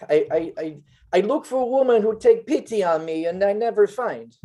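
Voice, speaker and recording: A woman playing a shy, lonely foreign man who speaks halting broken English. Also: medium pitch, expressive, mellow, natural voice. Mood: plaintive.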